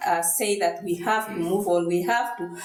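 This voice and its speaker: A woman giving an earnest, steady presentation as a social worker, pausing often on fillers. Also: fairly low pitch. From Kenya, Rift Valley. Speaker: social worker and conference speaker. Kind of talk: prepared presentation. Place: university conference hall. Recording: echoey hall.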